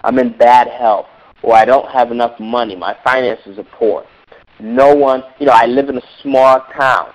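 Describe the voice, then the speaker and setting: A man's voice: medium pitch, steady tone, moderate pace. A man with a cold, coaching in a teleseminar, emphatic and repeating words for stress. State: hoarse from a cold. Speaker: music business coach. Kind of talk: motivational teleseminar talk. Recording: dull lo-fi teleseminar call.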